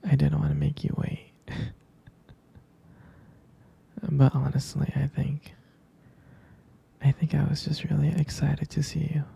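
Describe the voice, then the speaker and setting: A man playing a tender, smitten boyfriend in an intimate ASMR roleplay, speaking softly and haltingly in a breathy near-whisper. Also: a little high, completely flat delivery, heavily acted. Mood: smitten, shy. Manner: hesitant, halting, gentle. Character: tender, smitten boyfriend reminiscing about a first date.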